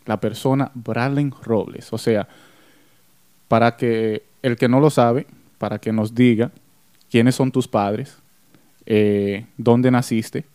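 Man, interview host, earnest, friendly, warm voice. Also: mid-range voice, clean tone, no room sound. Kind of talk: interview hosting.

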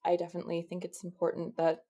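A woman hosting a podcast interview, agreeable and pausing to search for the right words. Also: on the low side, completely flat delivery, dark tone. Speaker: podcast host.

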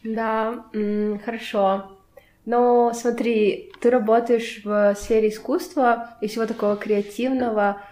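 A woman's voice: on the high side, level tone, unhurried, dark tone. A young woman hosting a casual interview, conversational and probing with follow-up questions. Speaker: podcast host interviewing a guest. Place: indoors in a home. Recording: echoey room.

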